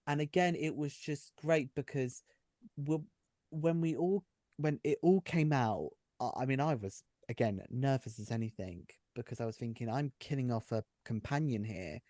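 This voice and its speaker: A man's voice: mid-range voice, normal speed, dark tone, dry recording, studio quality. A man who writes and runs an audio drama, chatting casually and effusively, often repeating his phrases. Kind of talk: casual behind-the-scenes chat.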